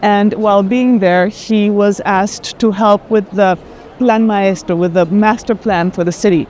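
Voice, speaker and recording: A woman's voice: medium pitch, fairly even intonation, a little slow, darkish tone. A woman explains things conversationally as a guest on a tour, restarting phrases as she goes. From Colombia. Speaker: art advisor and guest. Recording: faint urban background noise.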